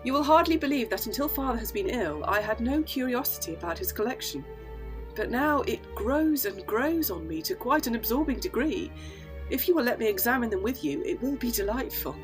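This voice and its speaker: An actress playing an earnest, resolute young gentlewoman in a gothic audio drama, speaking formally and with conviction. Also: on the high side, animated, over music, natural voice, rough recording. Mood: quietly eager. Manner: formal, measured, full of conviction.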